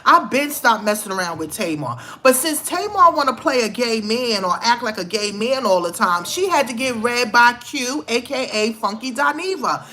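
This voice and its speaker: A woman's voice: a little high, animated, moderate pace, few pauses, sharp tone. A woman giving blunt, scolding celebrity gossip commentary in a vlog, with emphatic outbursts. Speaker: vlogger.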